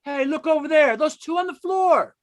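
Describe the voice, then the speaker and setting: A man playing a tough, excitable police officer in an old-time radio drama. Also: very high-pitched, moderate pace. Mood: excited.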